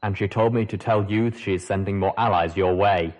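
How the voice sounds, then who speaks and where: A man voicing a male helper who talks through a sprite robot, in a mechanical monotone, for a storybook reading. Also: lowish voice, fairly quick, natural voice. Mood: flat.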